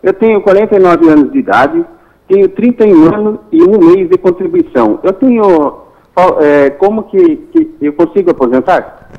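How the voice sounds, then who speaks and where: A man's voice: high voice, expressive, normal speed. A man in his forties calling in to a TV show, hesitant and stumbling over his words. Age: 40s. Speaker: caller. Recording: dull call-in line from far off.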